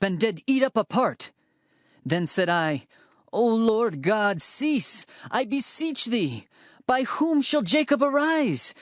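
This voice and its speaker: A man's voice: sits high, dry recording, studio quality, exaggerated voice. An actor playing an earnest, bold herdsman prophet, declaiming in a dramatized scripture narration. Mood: pleading. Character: earnest male prophet Amos, herdsman turned bold messenger.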